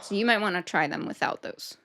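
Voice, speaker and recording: A woman's voice: lowish voice, fairly quick, gravelly, fairly bright tone, no echo, studio quality. A woman co-hosting a podcast in a casual, easygoing way, chatting and agreeing with her co-host. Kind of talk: casual chat.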